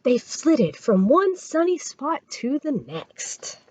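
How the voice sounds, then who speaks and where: A woman reading a children's story aloud as a storytime reader, expressive and dramatic. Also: high-pitched, takes it slowly, a little hiss or hum, rough recording.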